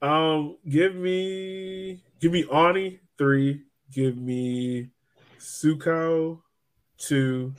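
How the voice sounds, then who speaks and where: A man's voice: a little high, fairly even intonation, drawn-out words. A man hosting a sports podcast, chatting casually in a joking, playful way. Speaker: podcast host.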